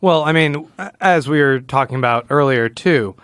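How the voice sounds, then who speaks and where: A man's voice: a little high, moderate pace, fairly bright tone, smooth, dry recording. A man co-hosting a podcast, talking casually and analytically, often rephrasing himself as he explains. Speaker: podcast co-host.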